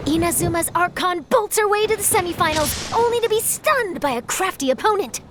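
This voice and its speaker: A woman's voice: very high-pitched, lively intonation, fairly quick, slight background noise. A woman playing a breezy, playful young reporter in a video game, with a chatty, headline-style way of talking. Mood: pleased. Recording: loud music behind the voice. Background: game music.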